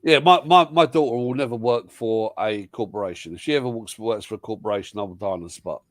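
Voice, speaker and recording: A man's voice: lowish voice, fast talker, dry recording, studio quality. A man hosting a live panel chat, talking casually with lots of restarts and repeated yeahs. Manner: rambling, many restarts and yeahs. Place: home in Thailand.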